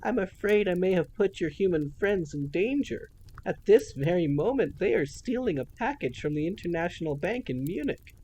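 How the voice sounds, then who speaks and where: A man's voice: fairly high pitch, a little mellow, slight background noise, pitched above the performer's own voice. A man voicing a snide, childlike female villain who mocks and gloats in a theatrical sing-song.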